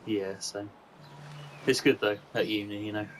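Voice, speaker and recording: A man's voice: on the low side, little pitch movement, measured pace, slight background noise, close to an everyday voice, low-quality recording. An actor playing a reticent young male student in casual film dialogue, understated and noncommittal. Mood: guarded. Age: young adult.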